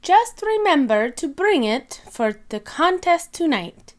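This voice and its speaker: Woman as a high, sing-song gazelle schoolteacher. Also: big pitch swings, slow pace, exaggerated voice. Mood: encouraging. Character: kindly female gazelle schoolteacher, encouraging and announcing. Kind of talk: children's storybook read-aloud.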